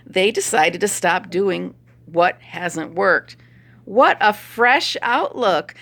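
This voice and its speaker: Hoarse, gravelly-voiced woman vlogging by phone. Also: medium pitch, fairly bright tone. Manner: chatty, opinionated, laughs while talking. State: hoarse, stuffy nose. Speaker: chiropractor vlogger. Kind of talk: casual talk to camera.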